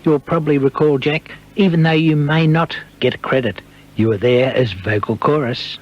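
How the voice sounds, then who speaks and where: A man's voice: fairly high pitch, steady tone, moderate pace. A man conducting an archival radio interview as the interviewer. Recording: dull, band-limited old tape.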